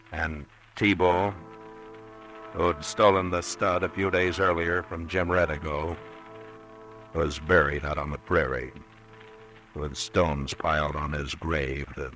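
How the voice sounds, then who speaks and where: An actor playing a weary, steady US marshal who narrates in a measured, calm way, in 1950s radio drama. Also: male voice, deep voice.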